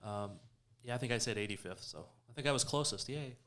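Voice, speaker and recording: A man's voice: mid-range voice, fairly quick, a bit bright. An American man in a casual sports discussion, speaking as a podcast panelist.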